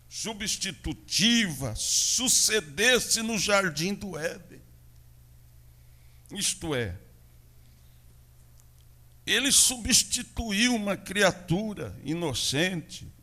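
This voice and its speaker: A Brazilian man, a pastor preaching a sermon in a church with a São Paulo interior accent, moving between loud proclamation and quiet asides. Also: high-pitched. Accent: Brazilian Portuguese, São Paulo interior.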